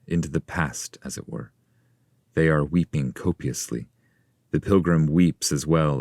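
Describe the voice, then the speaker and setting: A man reading a scripted literary text aloud in a measured, formal delivery. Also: rumbling low, a little mellow, no room sound, studio quality. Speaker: translator and reader.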